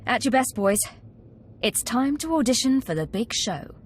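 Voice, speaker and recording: Higher-voiced, wry young acolyte. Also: female voice, very animated, normal speed, a little hiss or hum. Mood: persuasive. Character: scheming, persuasive young female Sith acolyte, ringleader. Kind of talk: video game cutscene acting.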